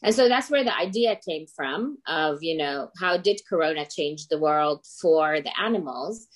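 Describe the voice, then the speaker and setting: A woman's voice: sits low, expressive, moderate pace. A middle-aged Lebanese woman who grew up in Greece, talking casually as an interview guest. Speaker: guest, children's book author.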